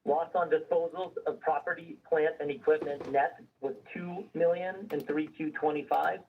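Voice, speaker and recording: A man's voice: fairly high pitch, steady tone, normal speed, distant mic, phone-quality sound, a little hiss or hum. A man formally reading a prepared financial results presentation on a conference call, as a company executive. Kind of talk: scripted earnings call presentation.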